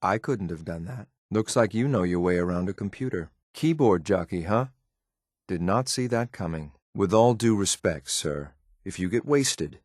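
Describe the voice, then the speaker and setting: Low-voiced sardonic soldier. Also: male voice, no room sound. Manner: clipped, dry sarcasm, addresses superior respectfully. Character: hard-edged, sardonic military male soldier companion, loyal but disdainful. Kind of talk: video game companion voice lines.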